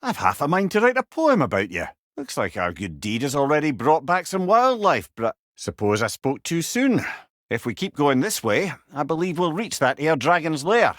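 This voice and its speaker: A man's voice: fairly high pitch, very animated, moderate pace, fairly bright tone, no room sound, studio quality. A man with a Scottish accent playing a witty, learned older companion, a talking head in a video game, wry and eloquent with old-fashioned wording. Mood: dryly amused. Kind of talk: video game character dialogue.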